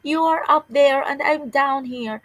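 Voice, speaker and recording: Woman as frightened little girl, storybook character, high pitch, pleading, slow delivery. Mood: scared, pleading. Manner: pleading, yelling for help. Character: frightened little girl lost in a dark cave.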